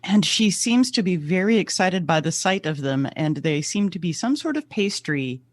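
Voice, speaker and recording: A woman's voice: fairly low pitch, expressive, normal speed, no room sound. A woman co-hosting a podcast in casual, wryly humorous conversation. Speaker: podcast co-host. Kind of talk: casual chat.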